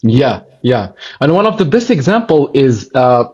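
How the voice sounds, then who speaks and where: A man's voice: mid-range voice, sing-song, a little slow, darkish tone, husky, dull audio. A man whose first language is Arabic, speaking English with an Arabic accent as a podcast host, hesitant and searching for words. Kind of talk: casual interview chat. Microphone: video call.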